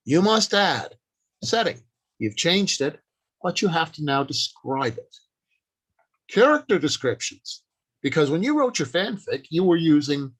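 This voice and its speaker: A man giving an instructional talk as a workshop speaker, deliberate and emphatic, speaking in short declarative phrases with pauses. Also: on the high side, slightly rough.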